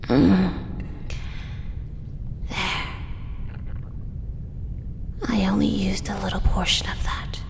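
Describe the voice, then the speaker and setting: A woman voicing a possessive female drider in an audio roleplay, whispering slowly and softly in a doting, controlling way. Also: medium pitch, level tone, creaky, in a large hall, background noise, full character voice. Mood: whispery, possessive. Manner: soft, slow, whispered, doting yet possessive.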